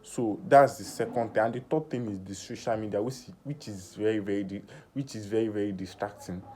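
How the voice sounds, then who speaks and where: A nineteen-year-old man talking through a personal vlog in earnest self-talk, halting with many fillers and restarts. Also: on the low side, warm tone. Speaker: vlogger.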